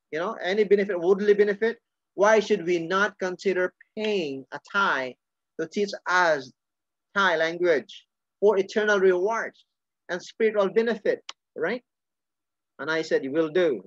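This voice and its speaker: A man's voice: high-pitched, no room sound. A middle-aged man preaching a sermon earnestly, often restarting and repeating phrases. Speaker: pastor. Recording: dull lo-fi sound.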